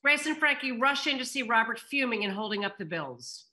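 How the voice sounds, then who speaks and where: A woman's voice: a little high, lively intonation, fairly quick, sharp tone. A middle-aged woman moderating on a video call, reading out script directions and audience questions. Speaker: moderator and stage-direction reader. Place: home room, video call.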